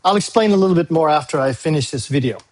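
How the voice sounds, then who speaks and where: A male university English teacher, a native Tagalog speaker, recording a class briefing video; he talks patiently, repeats key dates and fills pauses with "uh". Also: fairly high pitch, expressive, a little mellow. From Philippines. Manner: frequent "uh" fillers.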